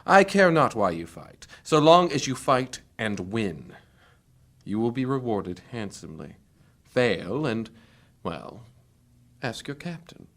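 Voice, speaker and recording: A man playing a commanding, zealous dark priest in a fantasy audio drama, speaking in grandiose, measured oratory. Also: mid-range voice, very animated, heavily acted. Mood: cold command.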